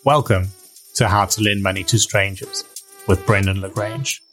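A man's voice: lowish voice, moderate pace, a bit bright, soft background music, low-quality recording. South African man hosting a podcast. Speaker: podcast host. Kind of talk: scripted intro and interview questions.

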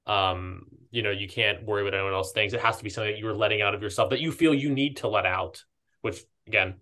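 A man in a casual, reflective podcast conversation, speaking as a host in a halting, thoughtful way and often rephrasing his ideas. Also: lowish voice, pushed and bright.

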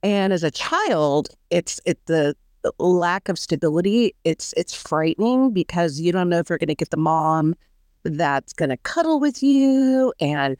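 Woman in her 50s from socal, low-ish smooth voice, earnest and halting, dry close mic. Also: lively intonation, a little mellow, no room sound. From Southern California. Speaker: podcast guest. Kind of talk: personal storytelling interview.

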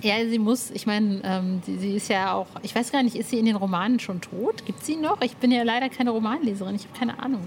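A woman with a fairly high voice hosts a casual podcast conversation. She talks enthusiastically and colloquially, rambling from thought to thought, over faint background noise. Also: brisk, hardly any pauses, a little mellow. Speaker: podcast host. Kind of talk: casual interview chat.

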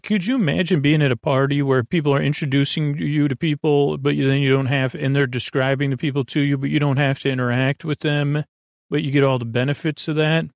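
Gravelly, low-key rambling man. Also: no echo, clean studio sound. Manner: meandering, halting, trails off mid-sentence; gently reassuring. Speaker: podcast host. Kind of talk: rambling bedtime sleep-podcast monologue.

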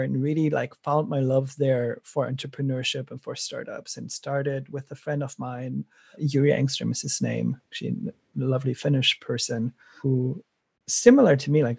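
A man's voice: mid-range voice, creaky, darkish tone, husky, no echo. A middle-aged man from Germany with a German accent, a startup founder talking enthusiastically in an interview, rambling with frequent restarts. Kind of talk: conversational interview.

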